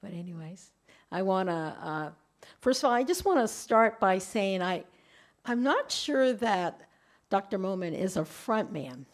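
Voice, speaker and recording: Woman giving a talk in a conference room, light voice, kinda halting, repeats herself a lot. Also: medium pitch, animated. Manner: halting, repeats words while speaking. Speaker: invited speaker at a webinar. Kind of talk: conference presentation.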